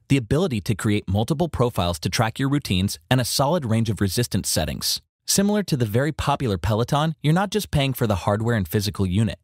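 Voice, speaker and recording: A man reading a scripted product review as a voice-over in an even, measured way. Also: medium pitch, no echo, clean studio sound.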